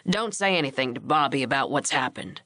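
A woman's voice: very deep voice, lively intonation, fairly quick, sharp tone, no echo, studio quality, pitched below the performer's own voice, exaggerated voice. A woman voicing an older male ranch patriarch in a storybook, scheming and firm with easy authority. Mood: commanding.

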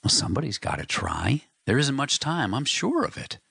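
A man's voice: mid-range voice, huge pitch swings, moderate pace, gravelly, pushed and bright, husky, no echo, studio quality, voice pushed up, exaggerated voice. A man reading the part of a big, determined man, with an urgent, eager delivery. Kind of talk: audiobook character reading.

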